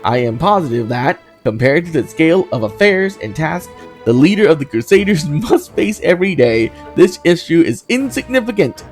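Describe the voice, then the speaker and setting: A man's voice: a little high, sing-song, normal speed, soft background music, voice pushed up. A man voicing a formal, earnest crusader knight of wounded dignity in a video game, with courteous, ornate phrasing. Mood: pained dignity.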